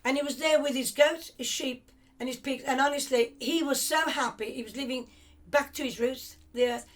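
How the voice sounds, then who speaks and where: An older woman with a high, light voice recalls her family's past at an unhurried conversational pace. She drifts and restarts as memories come back, reflective rather than polished. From England, of Cypriot family. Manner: rambling, reflective storytelling with restarts. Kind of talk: reminiscing conversation.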